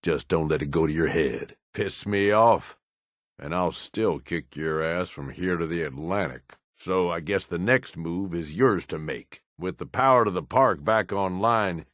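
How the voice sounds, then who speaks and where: A man's voice: low-pitched, measured pace, fairly bright tone, dry recording, studio quality. An actor playing a cunning, blunt raider right-hand man in a video game, with an American accent and a folksy tough-guy manner. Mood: sly, confident. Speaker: companion character in a video game. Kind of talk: video game dialogue acting.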